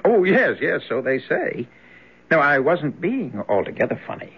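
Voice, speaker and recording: A sly menacing young man in an old radio play, husky, swoops around a lot, muffled lo-fi. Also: a little high, sing-song, moderate pace, exaggerated voice. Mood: sly, mocking. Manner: theatrical, teasing, insinuating. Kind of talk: old-time radio drama acting.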